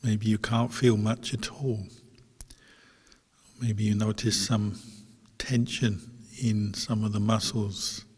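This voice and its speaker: Slow, low-voiced man guiding meditation, long reverb. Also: little pitch movement, slightly rough. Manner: measured, with long pauses between short phrases. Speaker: meditation teacher, Buddhist monk. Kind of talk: guided meditation instruction.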